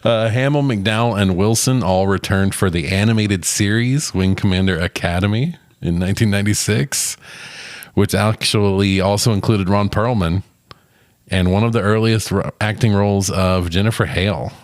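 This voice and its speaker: A man's voice: lowish voice, moderate pace, no echo, studio quality. A man co-hosting a casual movie discussion, swearing freely and often chuckling. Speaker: podcast co-host reviewing films.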